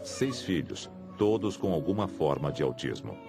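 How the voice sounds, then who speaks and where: A man's voice: a bass voice, sing-song, normal speed, vocal fry, over music. A man with a Brazilian accent reading scripted documentary narration.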